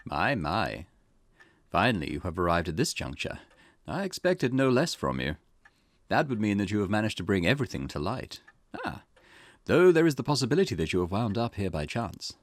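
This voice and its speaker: A man reading aloud the lines of a cryptic, formal male storyteller who guides the player, with a measured, theatrical delivery. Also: fairly low pitch, big pitch swings, no room sound, studio quality. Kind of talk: reading game dialogue aloud. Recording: game music playing behind.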